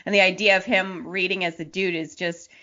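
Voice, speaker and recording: A woman with a slightly low voice hosts a relaxed interview. She sounds informal and enthusiastic, chatting conversationally. The recording is a little dull and lo-fi. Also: brisk. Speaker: podcast host. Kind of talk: casual interview chat.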